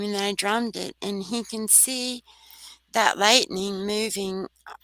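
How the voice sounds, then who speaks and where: A soft-voiced woman, a guest on a talk radio show, telling a personal story in an earnest, rambling way. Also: medium pitch, no room sound, low-quality recording. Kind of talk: casual storytelling.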